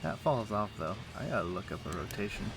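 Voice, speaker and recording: Low-voiced man chatting over loud game music. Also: expressive, brisk, gravelly. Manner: rambling, thinking aloud. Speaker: game streamer. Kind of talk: casual livestream gameplay chat. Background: video game music.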